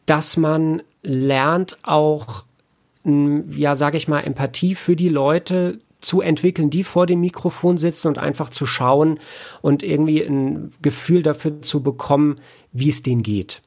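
A man talking casually as an interview guest, explaining his work in a reflective, halting way with repeated words. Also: a little high, fairly even intonation, darkish tone. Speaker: podcast guest, audiobook editor and audio drama producer.

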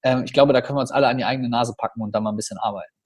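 A man with a Cologne accent, a podcast guest who tells stories animatedly with quick asides. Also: medium pitch, fairly even intonation, slightly rough. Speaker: podcast guest, public speaker. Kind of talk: casual podcast conversation.

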